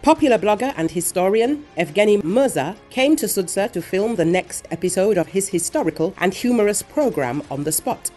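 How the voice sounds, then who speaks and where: A woman reading a scripted news report in a measured, even voice, relaying quotes from others. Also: on the low side. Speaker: news narrator. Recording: voice-over with faint music underneath.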